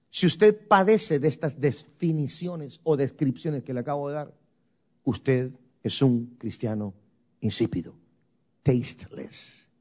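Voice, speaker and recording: A man's voice: mid-range voice, dull audio. A man from Guatemala preaching a sermon as pastor, with emphatic, rising and falling intensity. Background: soft music.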